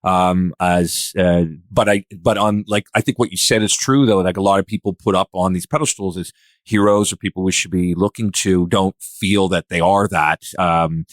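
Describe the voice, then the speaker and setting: A man's voice: low-pitched, steady tone, moderate pace, dry recording. A man hosting a casual discussion, thinking aloud in a hesitant, rambling way. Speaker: podcast host.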